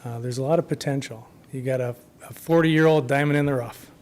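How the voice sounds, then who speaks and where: A man speaking as a festival co-chair before a city commission, his voice going hoarse, informal with many ums, trailing off quietly at the ends of phrases. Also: mid-range voice, brisk. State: losing his voice. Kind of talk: public comment at a council meeting. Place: council chamber.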